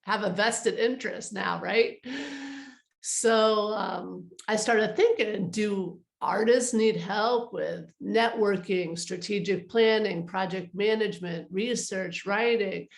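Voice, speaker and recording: A woman's voice: mid-range voice, slow, creaky. Middle-aged woman in an echoey room. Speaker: interview guest, artist advisor. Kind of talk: casual conversational interview.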